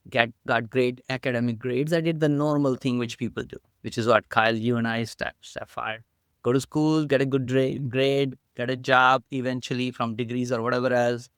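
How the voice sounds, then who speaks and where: A middle-aged man speaks with an Indian accent, talking as a podcast guest. His delivery is playful and animated, with a touch of self-mockery. The voice is smooth and resonant, and the room is dry. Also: mid-range voice, no echo. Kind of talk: casual interview talk.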